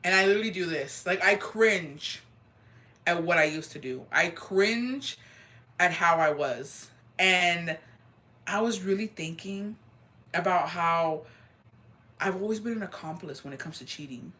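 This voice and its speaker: Brief: deep-voiced woman livestreamer, bright, forward tone, slangy gossip chat. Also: a little slow. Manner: chatty, slangy, mixes in Spanish phrases. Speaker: livestreamer reading viewer comments.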